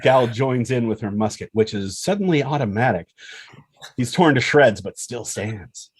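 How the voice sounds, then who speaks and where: A man's voice: medium pitch, expressive, moderate pace, slightly rough, no room sound. A man reading stage directions as dramatic scripted narration at a table read, in punchy phrases. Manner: punchy, present-tense action reading.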